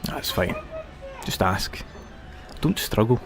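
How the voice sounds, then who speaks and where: An actor playing a friendly, teasing Scottish visitor centre manager in an audio drama, easygoing and chatty, with music behind him. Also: male voice, on the low side, big pitch swings, takes it slowly. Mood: patient, explaining. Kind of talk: naturalistic audio drama dialogue.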